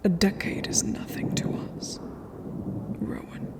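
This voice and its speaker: A woman voicing a regal, haughty, ageless vampire queen in a fantasy ASMR roleplay, speaking formally and imperiously in a breathy half-whisper. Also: slow, natural voice. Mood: lofty, cold. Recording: long cavernous reverb.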